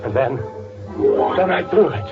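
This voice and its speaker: A man acting as a panicky, guilty narrator in old-time radio drama, nervous and halting under pressure. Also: mid-range voice, lively intonation, natural voice. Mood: frantic. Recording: phone-like old radio recording with loud music.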